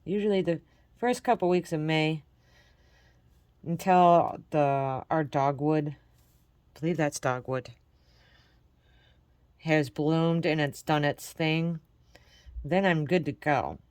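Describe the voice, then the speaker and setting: A woman from Michigan chats through a crafting tutorial in a friendly, encouraging way. Her voice is lowish and a little congested from allergies. The sound is dry and close to the mic. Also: takes it slowly, pauses often, darkish tone, no room sound. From Michigan, USA. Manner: chatty, encouraging, talks while working. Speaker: crafting channel host. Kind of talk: casual crafting tutorial chat.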